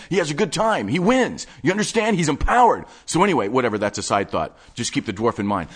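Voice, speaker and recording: A man voicing a manic, obsessive male tape collector who talks in rapid, excitable, rambling bursts. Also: medium pitch, voice pushed up. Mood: frantic. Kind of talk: audiobook character voice.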